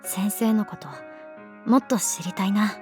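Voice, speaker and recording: A woman's voice: medium pitch, unhurried, darkish tone, faint music behind, close to an everyday voice. A woman playing a sulky, lovesick teenage schoolgirl in a Japanese voice drama, speaking bluntly and hesitantly. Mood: shy, curious. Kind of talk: Japanese voice drama acting with hushed inner monologue.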